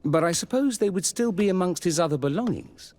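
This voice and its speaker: A man's voice: high-pitched, expressive, brisk, darkish tone. A man acting a cautious, respectful army camp doctor in a video game, polite and wary. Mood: wary, confiding.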